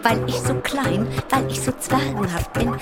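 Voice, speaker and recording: A woman's voice: a little high, animated, a little slow, over loud music. An actress playing a frazzled, feisty small woman in a theatrical stage monologue about her childhood. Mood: combative, taunting. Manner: theatrical, crisply alliterative, swinging between whisper and declamation. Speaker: stage actress performing a solo show. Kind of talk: theatrical monologue with bursts of song and wordplay.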